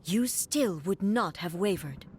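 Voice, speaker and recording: An actress playing a regal, solemn immortal female warrior ruler in a video game, speaking in a measured, formal and grandly stern way. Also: medium pitch, lively intonation, a little hiss or hum. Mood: solemn, stately. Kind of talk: dramatic video game voice acting. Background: background music.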